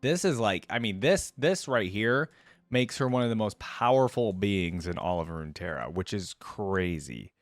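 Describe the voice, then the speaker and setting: A man's voice: on the low side, animated, normal speed, dry recording. Casual man reacting, dry close sound. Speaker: reaction video host. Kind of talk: casual reaction commentary on a video game.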